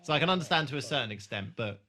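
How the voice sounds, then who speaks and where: A man's voice: medium pitch, expressive, racing, bright, forceful tone. A man hosting a podcast in casual chat, rambling, jokey and given to swearing. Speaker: podcast host.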